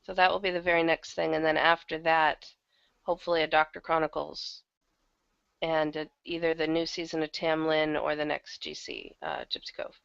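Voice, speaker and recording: A woman chatting casually as an interview guest, with a gravelly creak in her voice, over a lo-fi online call. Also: deep voice, flat delivery, moderate pace, a bit bright. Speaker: interview guest, audio drama producer. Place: online video hangout.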